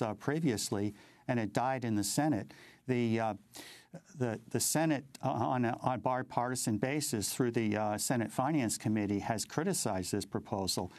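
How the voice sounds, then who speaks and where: An older man with an American accent giving interview commentary in a halting, measured way, often repeating words. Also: medium pitch, darkish tone, dry recording. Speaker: interview guest, advocacy group president.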